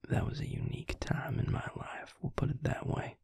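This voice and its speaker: A man playing a tender, regretful male vampire in an intimate audio roleplay, confiding haltingly in a soft, breathy, gravelly whisper. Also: no echo, clean studio sound, natural voice. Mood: rueful, candid.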